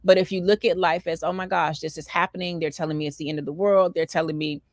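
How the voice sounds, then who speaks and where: A woman's voice: on the low side, fairly even intonation, quick pace, no echo, studio quality. An American woman hosting a money advice talk, speaking conversationally with emphatic, encouraging delivery and often acting out what people might say. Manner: emphatic, encouraging, acts out imagined remarks. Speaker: talk show host on real estate financing.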